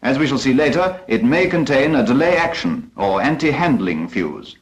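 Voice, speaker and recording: A man's voice: mid-range voice, big pitch swings, normal speed, dull audio. A British man narrating a 1940s instructional film from a script in a formal, precise, instructive manner.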